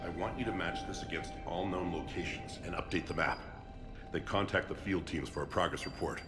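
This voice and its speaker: A man plays a middle-aged research doctor in a video game. His very deep voice is measured and assured, explaining things with driven authority. Music is clearly audible behind him. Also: close to an everyday voice. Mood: brisk, courteous.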